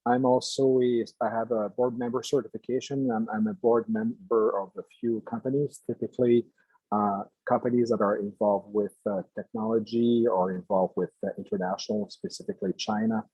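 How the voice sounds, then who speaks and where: An older man from Quebec whose first language is French, giving a guest lecture to a class in a halting way, with many restarts and fillers. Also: medium pitch, creaky, dark tone, husky, no echo, dull audio. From Quebec, Canada.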